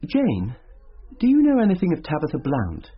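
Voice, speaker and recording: Earnest British schoolmaster with husky voice. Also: male voice, fairly high pitch, huge pitch swings, normal speed, muffled recording, full character voice. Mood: distracted, curious. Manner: hesitant, gently concerned. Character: earnest, curious schoolmaster, preoccupied and kindly. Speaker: actor in radio drama. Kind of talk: naturalistic radio drama acting.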